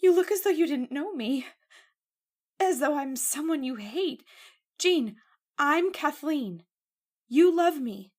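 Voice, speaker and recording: A woman's voice: sits high, animated, slow, pauses often, darkish tone. A woman playing an anxious, devoted young woman in an old-time radio horror drama, earnest and melodramatic. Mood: frightened, frantic.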